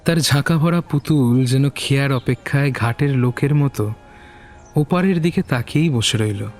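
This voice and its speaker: A man's voice: mid-range voice, normal speed. A man narrating a literary short story as a scripted audio reading.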